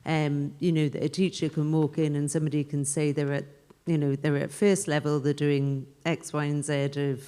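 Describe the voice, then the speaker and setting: A woman's voice: sits low, flat delivery, moderate pace, mellow. A woman speaking unscripted on a panel, explaining and piling up points with repeated phrasing.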